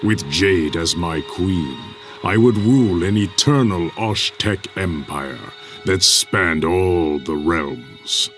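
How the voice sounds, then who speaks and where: Deep, solemn warrior emperor. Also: male voice, animated, slow pace, music in the background. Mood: solemn, proud. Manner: solemn, formal, dignified. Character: proud, stoic warrior emperor, grown man. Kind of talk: video game cutscene narration.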